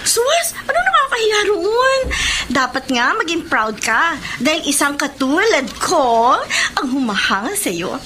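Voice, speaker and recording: Young Filipina sweetheart, high voice, swooping intonation, teasing, forward, radio drama. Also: female voice, sing-song, a little slow, pushed and bright, a little hiss or hum, full character voice. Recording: music bed under radio drama.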